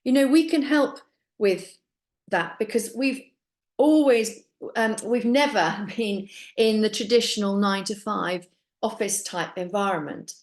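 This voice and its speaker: A woman's voice: medium pitch, unhurried. A middle-aged woman originally from Finland giving an earnest, upbeat sales presentation about a business opportunity, speaking personally to her audience.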